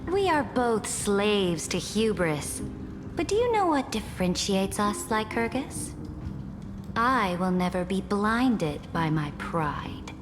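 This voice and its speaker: A woman's voice: medium pitch, sing-song, slow. An actress playing a proud, defiant female ruler in a video game, with a regal, commanding, theatrical delivery. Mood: proud resolve. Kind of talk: scripted video game acting. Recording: long hall reverb under music.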